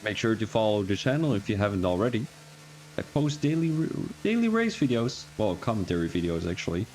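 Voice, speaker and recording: A man's voice: lowish voice, big pitch swings, fairly quick, a little mellow, slight background noise, low-quality recording. A young man from Belgium with an Antwerp Flemish accent, chatting casually and rambling as a racing livestream commentator, chuckling and correcting himself as he goes. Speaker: race commentator.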